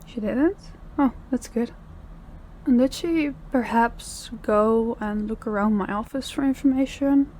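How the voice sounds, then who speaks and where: A woman acting as a controlling female boss in an audio roleplay, speaking in a measured, polite and quietly pressing way. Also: a little high, slight background noise, natural voice, low-quality recording. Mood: questioning. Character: controlling, probing female crime boss, outwardly courteous.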